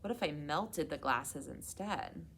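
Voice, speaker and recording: Woman, storybook narration, expressive, slow pace, noisy recording. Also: low voice, creaky. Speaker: children's read-aloud reader at a public library.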